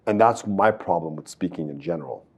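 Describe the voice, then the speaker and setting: A man hosting an interview who came to Canada as a child. He speaks softly and hesitantly, with many fillers and restarts. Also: low voice, a little fast, gravelly, husky. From came to Canada at five. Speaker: interview host. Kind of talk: casual interview chat.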